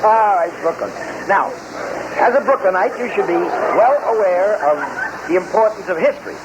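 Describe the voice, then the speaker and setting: A man's voice: high voice, animated, normal speed, muffled recording, heavy background noise. A man hosting a quiz in vintage radio patter, on an old recording. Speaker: radio quiz show host.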